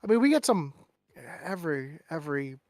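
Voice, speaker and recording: A man's voice: on the high side, sing-song, brisk, darkish tone, dry recording. Chatty man with a dry close sound. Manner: chatty storyteller who mimics people in raised voices. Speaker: guest. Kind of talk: casual podcast chat.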